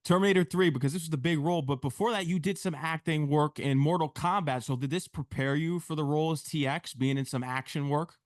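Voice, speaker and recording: A man's voice: on the high side, brisk, few pauses, dry recording, studio quality. A man hosting an interview, asking questions casually with some hesitant phrasing. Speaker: podcast host interviewer.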